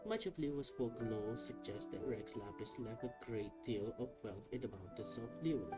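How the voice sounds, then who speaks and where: Man stumbling through text over game music. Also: mid-range voice. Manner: halting, stumbles and restarts over names. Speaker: gameplay video uploader. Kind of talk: reading on-screen game text aloud.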